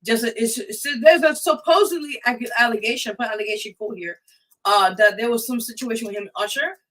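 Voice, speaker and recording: A woman's voice: a little high, fairly quick, fairly bright tone. A woman hosting a casual live talk show, speaking in an emphatic, blunt way. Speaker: radio show host.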